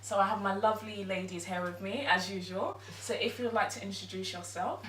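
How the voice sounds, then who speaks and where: A woman's voice: medium pitch, a little fast, a bit bright. A woman in her twenties hosts a casual panel chat with friends. She speaks upbeat and often murmurs agreement while the others talk. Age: 20s. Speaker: host. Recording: echoey room, distant mic, faint noise.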